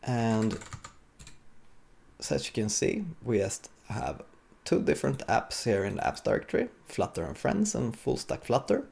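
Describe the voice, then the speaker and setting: A man giving an informal, explanatory live coding talk as a conference speaker, with a gravelly, husky voice. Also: medium pitch, sing-song, measured pace, darkish tone.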